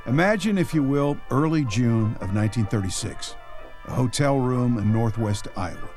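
A male host gives scripted narration to introduce an audio drama. He sounds relaxed and conversational at a middle pitch, with faint music underneath. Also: normal speed. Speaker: podcast host.

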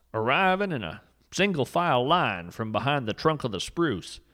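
A man's voice: mid-range voice, a bit bright. A man narrating a scripted story with dry, mock-serious humor.

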